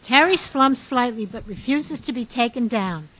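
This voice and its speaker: Female narrator for a radio play, reading stage directions, pitched a little high. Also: expressive, normal speed, fairly bright tone, low-quality recording.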